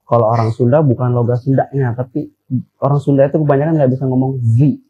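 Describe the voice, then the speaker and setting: A young man in his twenties, an English tutor, explaining things plainly in a relaxed casual chat. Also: medium pitch, quick pace, lo-fi sound.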